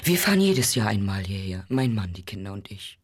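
A woman playing an earnest, rambling, easily unsettled radio editor in a radio play, speaking haltingly. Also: extremely low pitch, very animated. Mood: solemn, matter-of-fact. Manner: hesitant, halting. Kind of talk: live radio-play monologue.

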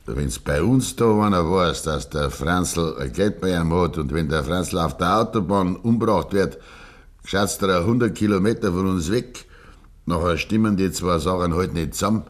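An actor playing a stubborn, grieving old farmer with a Bavarian accent and a gruff, deliberate manner, in a 1950s German dialect radio play. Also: male voice, deep voice. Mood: guarded, dismissive. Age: older. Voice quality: gravelly, husky.

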